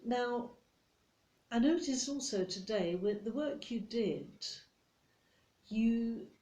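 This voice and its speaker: A woman with a British accent playing a fussy, controlling office boss who scolds politely and condescendingly in a soft-spoken ASMR roleplay. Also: medium pitch, reverberant. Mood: fussy, nitpicking.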